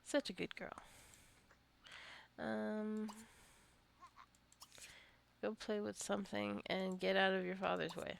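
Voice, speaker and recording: A woman giving casual, rambling gameplay commentary as a let's-play host, musing aloud to the game's characters in a creaky voice. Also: medium pitch, level tone, takes it slowly, pauses often, slight background noise.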